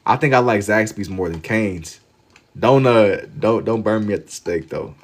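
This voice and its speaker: Deep-voiced American man chatting on a livestream. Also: lively intonation, moderate pace. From Louisville, Kentucky, United States. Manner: slangy, amused, repeats phrases for emphasis. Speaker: livestream host. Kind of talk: casual chat with live viewers while drawing.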